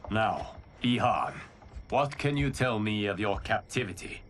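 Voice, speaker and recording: An actor playing a calm, wise godlike protector in a video game, speaking in a measured, solemn, formal way. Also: male voice, lowish voice, noisy background. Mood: calm, resolute. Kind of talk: dramatic video game acting.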